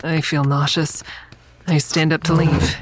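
Earnest, anxious counselor, lowish voice. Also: female voice, little pitch movement, a little slow. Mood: anxious narration. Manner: hesitant, earnest, introspective. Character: anxious, earnest female crisis counselor narrating her own story. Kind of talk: first-person audio drama narration and dialogue.

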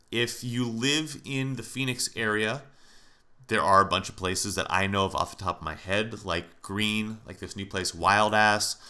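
A man's voice: on the low side, bright, forceful tone. An American man hosting a solo podcast in a casual, conversational way, his voice nasal and stuffed up from allergies. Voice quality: nasal, congested. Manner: informal, conversational, mildly profane. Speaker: podcast host.

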